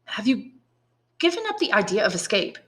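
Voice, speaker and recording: An earnest young man in prison, acted out by a woman in a classic audiobook. The delivery is raised in pitch, eager and full of questions. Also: big pitch swings, moderate pace, fairly bright tone. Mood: urging, hopeful. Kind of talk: dramatised audiobook reading of a classic novel.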